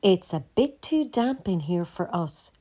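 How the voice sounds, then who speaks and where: A woman voicing a kindly, protective male gander in a children's story, calm and courteous. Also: lowish voice. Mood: polite, apologetic. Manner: measured, courteous.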